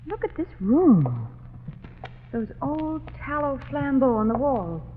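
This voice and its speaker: A tinny, noisy vintage radio recording carries a refined, anxious society woman. Her voice is very high and animated, in theatrical 1930s drama style. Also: slow, heavily acted. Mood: uneasy. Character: lovely, anxious, sharp-witted society woman companion.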